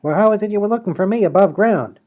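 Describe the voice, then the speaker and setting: A man's voice: sits high, expressive, fast talker. A man voicing a brash, scheming small-time crook in a comedy radio play. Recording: dull lo-fi audio.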